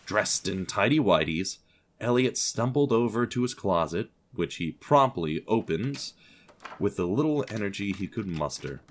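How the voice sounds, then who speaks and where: A man's voice: lowish voice, slight background noise. A man reading scripted story narration with a dry, wry, understated manner.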